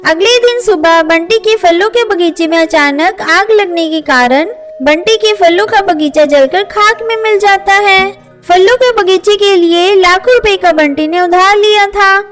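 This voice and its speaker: A woman's voice: very high voice, a little slow, a bit bright. A woman narrating a scripted children's story. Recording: faint music under voice. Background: faint music.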